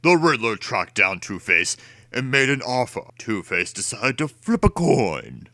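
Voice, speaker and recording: A man's voice: medium pitch, big pitch swings, unhurried, pushed and bright, no echo. A man giving a theatrical, over-emphatic dramatic reading of a children's storybook. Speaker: storybook reader.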